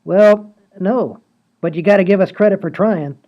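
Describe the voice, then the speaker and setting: A man acting a rambling, oblivious handyman who used to be a cop, in a comedy radio play, with a folksy manner. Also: high voice. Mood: casual, boastful. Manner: rambling, folksy, unhurried storytelling. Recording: dull lo-fi podcast audio.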